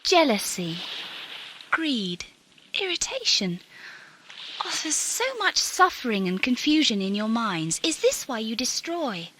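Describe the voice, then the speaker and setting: A woman playing a sorrowful, godlike world-spirit in human form in an audio drama, speaking solemnly and deliberately. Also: fairly high pitch, big pitch swings, fairly bright tone, a little hiss or hum, poor audio. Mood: sorrowful, grand. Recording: music behind, 1980s amateur audio drama.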